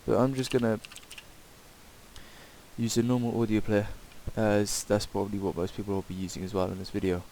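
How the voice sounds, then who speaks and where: A man giving a casual, improvised software tutorial in a hesitant, rambling way. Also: fairly low pitch, little pitch movement, brisk, pauses often, rough recording. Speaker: tutorial presenter. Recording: hissy home microphone recording.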